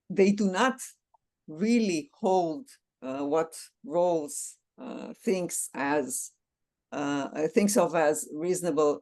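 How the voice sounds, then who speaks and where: Hesitant woman scholar, lowish voice. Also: a little mellow. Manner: hesitant, many filled pauses. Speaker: author of a book on religion and liberalism. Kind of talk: academic interview discussion.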